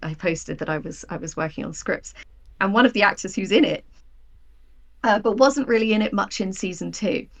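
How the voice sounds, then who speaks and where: A woman's voice: medium pitch, fairly quick, vocal fry. A woman speaking as a writer guest in a casual interview, telling stories and acting out her own thoughts in quoted asides. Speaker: guest, audio drama writer.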